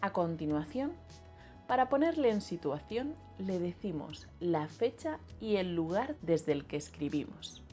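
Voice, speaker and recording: Lively low-voiced teacher woman. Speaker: Spanish teacher. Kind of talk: voice-over explanation with examples.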